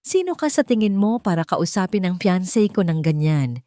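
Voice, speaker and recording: A woman's voice: fairly low pitch, sing-song, normal speed, warm tone, dry recording, studio quality. A woman with a Filipino accent voicing a haughty, venomous rich fiancée in an audio story, condescending and sweetly cruel. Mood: venomous, furious. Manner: condescending, mixing English into Tagalog.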